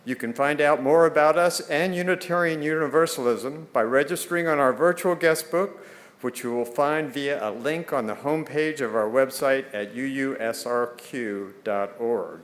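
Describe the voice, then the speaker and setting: A man with a medium-pitched voice addresses a congregation as one of its board members. He delivers a public welcome to visitors and moves through practical announcements. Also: moderate pace. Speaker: church board trustee giving welcome announcements. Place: church service before a congregation.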